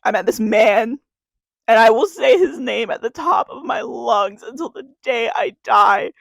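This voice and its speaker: A 21-year-old woman is crying as she recites an earnest, confessional spoken-word poem to camera, circling back to the same refrain. Her voice has a gravelly, fry-laden edge. The sound is dry and close, with no room echo. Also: on the high side, expressive, measured pace. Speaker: YouTuber.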